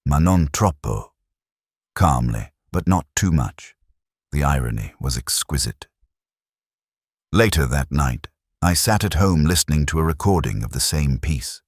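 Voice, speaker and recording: A man narrating a scripted first-person detective story in a measured, reflective, literary manner. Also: extremely low pitch, slightly rough, no echo, clean studio sound.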